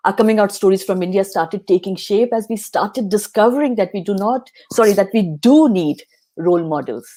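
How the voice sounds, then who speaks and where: A woman hosting an interview show. Her voice is mellow and mid-pitched. She speaks earnestly and now and then restarts a phrase. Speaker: host.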